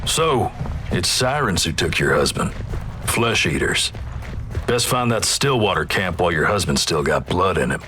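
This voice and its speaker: Deep, grim Wild West narrator. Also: male voice, lively intonation, normal speed, noisy background. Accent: American Western drawl. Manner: terse, folksy, ominous. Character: grim, folksy Wild West male narrator, ominous storyteller. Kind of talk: scripted second-person game narration. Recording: loud music under the voice. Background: loud music.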